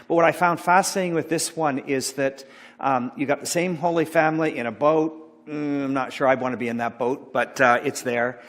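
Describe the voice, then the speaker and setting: A middle-aged man giving an informal sermon, rambling and halting with many fillers and restarts. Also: medium pitch, a bit bright. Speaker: pastor. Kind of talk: informal sermon talk about slides. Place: church sanctuary. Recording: long church hall reverb.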